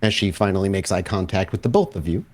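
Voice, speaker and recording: A man's voice: low voice, quick pace, darkish tone. A man narrating a tabletop roleplaying game as storyteller, describing scenes and asking players questions.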